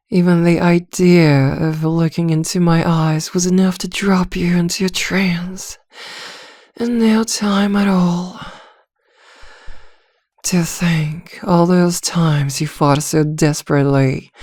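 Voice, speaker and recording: A woman voicing a seductive, dominant vampire in a soft, breathy, teasing ASMR roleplay, coaxing hypnotically close to the mic. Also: deep voice, no echo, full character voice. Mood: gloating, teasing. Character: seductive, dominant female vampire posing as a therapist. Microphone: close microphone, very dry.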